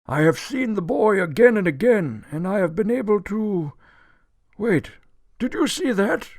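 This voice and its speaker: A litigious old gentleman in a radio play. The voice is high and gravelly, swooping widely as he declaims. He is pompous, crotchety and forever indignant. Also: very animated, unhurried, no room sound, heavily acted. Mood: eager, conspiratorial. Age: older. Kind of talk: radio drama acting.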